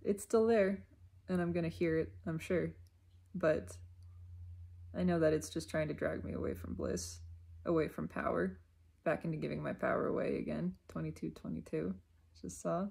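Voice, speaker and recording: A woman giving a reflective self-help monologue, unhurried and emphatic, often repeating her phrases. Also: low voice, gravelly, a little mellow, husky.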